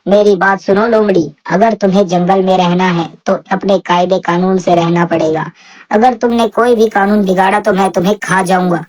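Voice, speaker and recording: Woman as a stern cartoon lion king, mid-pitched. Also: fairly even intonation, normal speed, a little mellow, close to an everyday voice, poor audio. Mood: stern, warning. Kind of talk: animated children's animal story.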